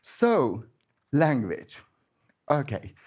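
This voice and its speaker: A man's voice: mid-range voice, huge pitch swings, drawn-out words, darkish tone. A man with a Hungarian accent, a native Hungarian speaker, giving an informal sermon in a chatty, hesitant way with many restarts. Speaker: preacher.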